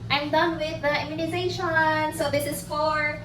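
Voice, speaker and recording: A woman's voice: high-pitched, flat delivery, moderate pace, background noise. A woman nurse with a Filipino accent, narrating a chatty, upbeat vlog with practical tips and switching languages mid-sentence. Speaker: nurse and vlogger. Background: background music.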